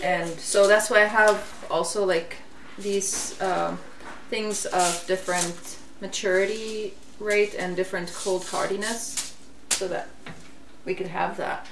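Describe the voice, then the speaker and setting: A woman's voice: mid-range voice, steady tone, takes it slowly. A woman in her thirties from Lebanon, hosting a gardening video and chatting casually with many fillers and giggles. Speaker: gardening channel host. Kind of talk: casual chat, seed haul. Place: kitchen, seated at table. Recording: roomy, distant mic, faint hiss.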